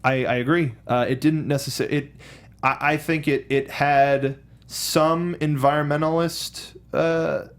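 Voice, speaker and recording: A man co-hosting a casual film discussion, speaking in a halting, rambling way and often circling back to rephrase with enthusiasm. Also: fairly high pitch, fairly even intonation. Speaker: co-host of a film discussion show.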